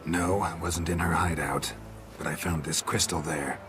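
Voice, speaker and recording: An actor playing a gruff, world-weary monster hunter in a fantasy game, with a gravelly voice and clipped, deadpan delivery. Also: male voice, rumbling low, moderate pace, music in the background. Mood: curt.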